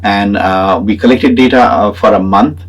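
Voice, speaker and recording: A man from Nepal with a Nepali accent gives an explanatory academic talk. His voice is fairly low and husky. He speaks haltingly, stopping to find his words as he lays out his points. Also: dull audio, faint music behind. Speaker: PhD student and researcher. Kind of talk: semi-prepared explanatory talk about own research.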